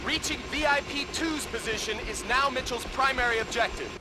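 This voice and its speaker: A man playing a brisk, focused military mission controller relaying procedural orders in a video game. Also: music in the background. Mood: urgent, focused. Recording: very noisy, vehicle rumble behind.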